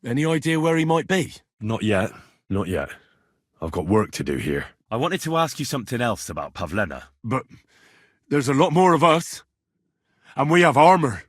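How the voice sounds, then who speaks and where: A man playing a young, earnest, plucky medieval blacksmith turned adventurer in a video game, with a plain-spoken, expressive delivery. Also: mid-range voice, normal speed, no room sound, clean studio sound. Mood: questioning, determined. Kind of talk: video game voice acting, dramatic dialogue lines.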